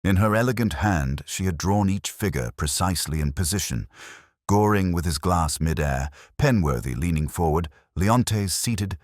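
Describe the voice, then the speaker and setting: A man reading scripted first-person mystery fiction as an audiobook narrator, in measured, deliberate phrasing. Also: low voice, lively intonation, no room sound, studio quality.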